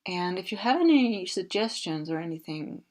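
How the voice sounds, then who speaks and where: A young woman from Denmark, a Danish native speaker, chatting softly and hesitantly with many fillers while she narrates a building session. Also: on the low side, very animated, normal speed. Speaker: Sims builder and YouTuber. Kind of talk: casual voice-over while building in a game.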